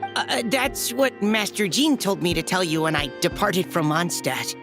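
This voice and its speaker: A woman's voice: lowish voice, expressive, normal speed, over music, lightly acted. A woman voicing an earnest, slightly flustered young knight courier in a video game, polite and hesitant. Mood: earnest, reassuring. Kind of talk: anime-style video game voice acting.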